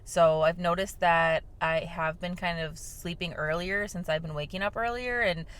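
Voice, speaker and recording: A young woman hosting a casual vlog, chatting softly with lots of fillers while sipping a drink, her voice slightly hoarse. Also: on the low side, fairly even intonation, moderate pace. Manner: talking softly on purpose, filler-heavy and rambling. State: slightly hoarse from shouting the day before. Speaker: vlog host. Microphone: mic without windscreen.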